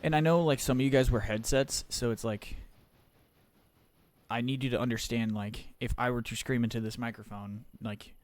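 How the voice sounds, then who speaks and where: A man live-streaming a game, chatting casually with viewers in a rambling, profane, joking way, his voice slightly raspy. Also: medium pitch, steady tone, normal speed, darkish tone.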